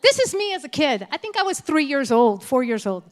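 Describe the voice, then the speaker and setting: Brief: woman, 59, native Spanish speaker, California-raised, frank motivational keynote, lively and direct, slightly high voice. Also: fairly quick. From California, United States. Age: 50s. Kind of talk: candid motivational speech. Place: school assembly stage.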